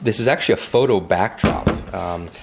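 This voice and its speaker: A man with a deep voice answering interview questions casually at home, with lots of fillers and restarts. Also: brisk, vocal fry, noisy background. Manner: relaxed, chatty, frequent fillers and restarts. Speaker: tech video maker interviewed as a guest. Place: home basement office.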